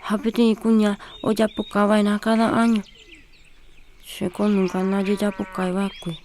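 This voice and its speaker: An actress playing a worried young village woman in a radio drama, speaking in a soft, pleading way. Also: mid-range voice, flat delivery, measured pace, a little hiss or hum, lightly acted.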